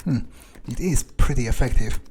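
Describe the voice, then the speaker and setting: A man playing a video game in character, thinking aloud in halting, musing, sweary commentary. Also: medium pitch, heavy background noise. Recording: game music behind the voice.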